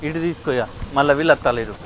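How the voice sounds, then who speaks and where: A man's voice: on the high side, very fast, a bit bright. A man chatting casually with a group. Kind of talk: casual chat.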